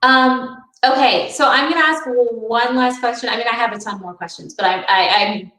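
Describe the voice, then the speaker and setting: A woman's voice: a little high, normal speed, bright, forceful tone. A woman hosting a book talk as interviewer over a video call, friendly and curious, with many fillers. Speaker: interviewer host. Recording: roomy, distant video call audio.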